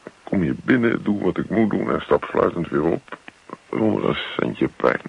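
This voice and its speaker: An actor playing a grumpy, self-pitying husband in a radio play, muttering to himself in a hesitant, put-upon way. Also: male voice, low-pitched, expressive, moderate pace, creaky, breathy edge, exaggerated voice. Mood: weary, self-pitying. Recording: dull lo-fi radio play recording.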